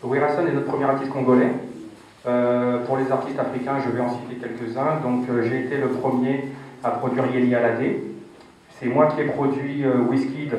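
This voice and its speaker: A man from Cameroon, a music producer, answering journalists' questions at a press conference in a steady voice with frequent hesitations. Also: mid-range voice. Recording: echoey hall from far off.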